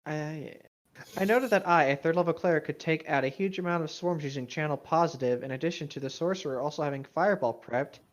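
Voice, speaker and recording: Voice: medium pitch, brisk. Casual co-host on a muffled mic. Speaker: co-host reading stories and reacting. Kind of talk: casual chat and informal story reading. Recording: dull, muffled lo-fi microphone.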